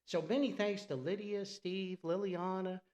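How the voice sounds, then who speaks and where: A man's voice: sits high, steady tone, measured pace, darkish tone. An American man from New Jersey hosting a solo podcast in a chatty, theatrical, folksy way, with exclamations and words repeated for emphasis. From New Jersey, United States. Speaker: podcast host. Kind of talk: chatty solo podcast monologue with sponsor reads.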